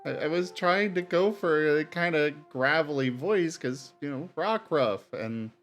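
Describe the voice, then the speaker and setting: A man's voice: a little high, lively intonation, measured pace, a bit bright, faint music behind. A man playing in a tabletop game, chatting casually and explaining himself in a hesitant, self-conscious way. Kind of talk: casual chat.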